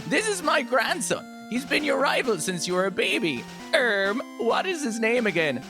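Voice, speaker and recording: A man's voice: very high-pitched, big pitch swings, normal speed, gravelly, music in the background, voice pushed up, exaggerated voice. A man voicing a kindly, forgetful old professor who gives a grand welcome in a video game role-play. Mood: grand, welcoming.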